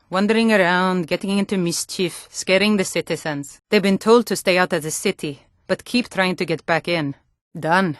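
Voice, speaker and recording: A woman acting a low, blunt fantasy guardswoman. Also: no echo, studio quality, natural voice. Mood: curt, dismissive. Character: stern, world-weary female Nord city guard and townswoman. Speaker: video game voice actor. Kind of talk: fantasy game dialogue.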